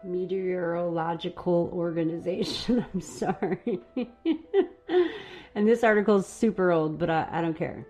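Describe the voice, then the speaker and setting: A woman hosting a live stream, chatting casually and rambling a little while her voice is going hoarse. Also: on the low side, steady tone, unhurried, darkish tone, soft background music. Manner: casual, rambling, laughs at herself. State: losing her voice. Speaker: host.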